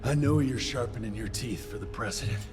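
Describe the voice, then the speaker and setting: A grim, terse young vampire hunter, played by a man, steady and determined. His voice sits mid-pitch. A loud game score plays under him. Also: a little fast, over music, close to an everyday voice.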